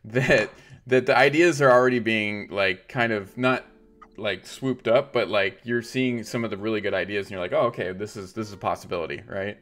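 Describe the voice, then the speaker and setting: A man hosting a live stream chats with a guest in a rambling way. His voice sits fairly low, and he fills his talk with "like" and "right?". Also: moderate pace, a bit bright. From Los Angeles area. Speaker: stream host. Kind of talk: casual interview chat.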